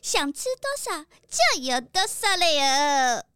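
A woman's voice: high voice, big pitch swings, slow pace, bright, forceful tone, no room sound, clean studio sound, soft background music, exaggerated voice. A woman voicing an eager, friendly lady vole cook with a quirky rustic verbal tic, in a children's audio story. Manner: quirky hometown verbal tic ending sentences.

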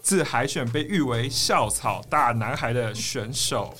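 Casting: male Taiwanese podcast co-host, medium pitch, casual banter, light music behind. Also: measured pace, sharp tone. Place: recording studio.

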